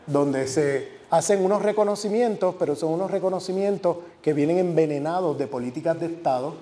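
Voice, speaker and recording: A man's voice: on the high side, fairly quick, dark tone. A man, a university professor, giving an academic lecture with emphasis, repeating and listing words for stress. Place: lecture hall. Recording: echoey lecture hall.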